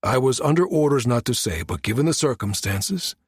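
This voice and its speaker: Man acting a firm, measured security chief. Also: mid-range voice, expressive, breathy edge, dry recording, clean studio sound. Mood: grave. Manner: measured, matter-of-fact, authoritative. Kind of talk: audiobook character dialogue.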